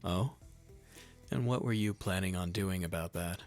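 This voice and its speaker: Very deep-voiced smug troublemaker. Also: male voice, moderate pace, faint music behind. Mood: taunting. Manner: mocking, profane. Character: smug, taunting young male troublemaker. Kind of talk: visual novel voice acting.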